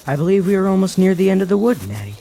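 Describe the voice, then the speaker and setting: A man's voice: high voice, sing-song, rapid-fire, vocal fry, dark tone, heavily acted. A man playing a cautious, earnest young traveler in a fantasy audio drama, speaking formally as an anxious protector.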